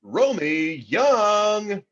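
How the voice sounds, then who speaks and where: A man's voice: high-pitched, very animated, very slow, fairly bright tone, exaggerated voice. A man playing a comedy open-mic host, announcing the acts in a table read over slightly muffled call audio. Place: video call from home.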